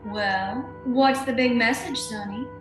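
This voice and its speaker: A woman playing a tough, sharp-tongued femme fatale in an old-time radio detective play, curt and sardonic. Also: on the high side, measured pace, music in the background, lightly acted. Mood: cool, suspicious. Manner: curt, hard-boiled, sardonic. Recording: echoey room sound.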